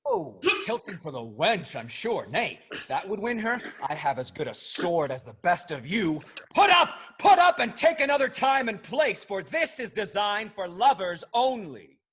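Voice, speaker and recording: Swaggering period comedy gallant played by a man. High, rough-edged, animated and blustering. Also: moderate pace, hardly any pauses, heavily acted. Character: brash, scolding swaggering gallant. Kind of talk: period stage comedy audio drama.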